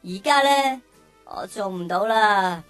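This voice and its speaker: A woman's voice: mid-range voice, lively intonation, takes it slowly, a bit bright, clean tone, no room sound, faint music behind. A woman voicing a cheeky, whiny young male cow in a children's radio drama, with exaggerated delivery. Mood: whiny, dramatic.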